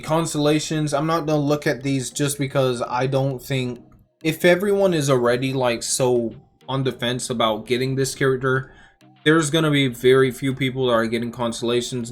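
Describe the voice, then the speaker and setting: A man giving casual game reaction commentary as a YouTuber, talking informally and rambling as he thinks out loud. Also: medium pitch. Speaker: gaming YouTuber. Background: music.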